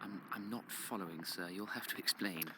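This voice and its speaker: An actor playing a deferential, slow-witted young apprentice demon in a radio play, speaking hesitantly and with puzzlement. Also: male voice, on the low side, heavy background noise, lightly acted. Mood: puzzled. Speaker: subordinate pupil. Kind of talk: radio theatre acting.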